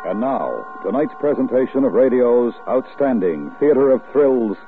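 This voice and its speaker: Old time radio announcer guy, dramatic, swoopy delivery, loud music under him, tinny sound. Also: medium pitch, sing-song, moderate pace. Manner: theatrical, portentous.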